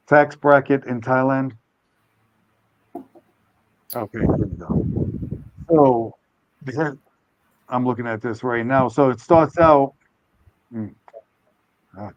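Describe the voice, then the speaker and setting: A man's voice: medium pitch, slow pace, long pauses, no room sound. Older male host, casual, rambling and hedging, dull dry recording. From United States. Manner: rambling, hedging, self-correcting. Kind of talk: casual live stream talk.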